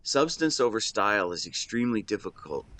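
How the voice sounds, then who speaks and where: A man's voice: lowish voice, sing-song, fairly quick, sharp tone. A man in his twenties playing a devoted, protective and secretly lovesick best friend in a conversational audio roleplay, earnest and playful with some hesitation. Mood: wistful, resigned. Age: 20s. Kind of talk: intimate one-sided roleplay acting, conversational.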